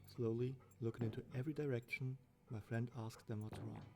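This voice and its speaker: A man reading a scripted first-person horror story as its narrator, with a gravelly voice. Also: medium pitch, fairly even intonation, a little fast.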